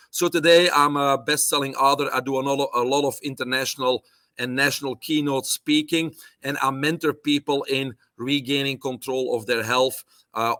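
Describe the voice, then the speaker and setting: Middle-aged guy w a belgian accent, bright voice, dry close sound, lots of uhs. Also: medium pitch, no room sound. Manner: fluent, many "uh" fillers, lists things off. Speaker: guest, holistic nutrition doctor. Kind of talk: casual interview talk.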